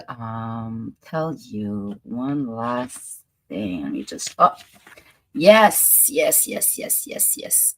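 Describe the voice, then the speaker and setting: A woman with a French accent, hosting a casual commentary show and chatting playfully about news clips. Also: very deep voice. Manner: chatty, playful, laughs and muses aloud. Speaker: host.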